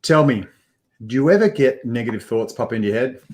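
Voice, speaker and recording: A man's voice: medium pitch, fairly quick, a little mellow. An older man hosting a live video call interview from an indoor studio, talking in an upbeat presenter manner with frequent fillers. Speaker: host. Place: indoor studio with banner backdrop. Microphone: headphones with mic, webcam framing.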